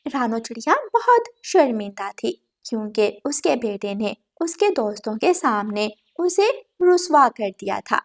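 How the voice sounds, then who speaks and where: Female narrator for scripted kids' stories, high register. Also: big pitch swings, measured pace, few pauses. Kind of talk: scripted children's story narration.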